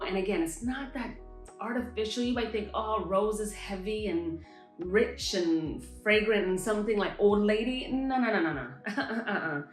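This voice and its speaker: Upbeat woman chatting about hair products, echoey room, faint music behind. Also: mid-range voice. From Montreal, Canada. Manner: chatty, enthusiastic, talks straight to viewers. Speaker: henna hair care specialist and shop owner. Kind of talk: product showcase vlog. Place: her salon and shop space. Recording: roomy space with light music.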